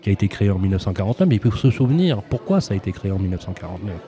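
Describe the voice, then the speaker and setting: Guy hosting a radio interview, lowish soft mellow voice, wordy and effusive, repeats himself a lot. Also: sing-song, very fast, soft background music. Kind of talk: conversational live radio interview.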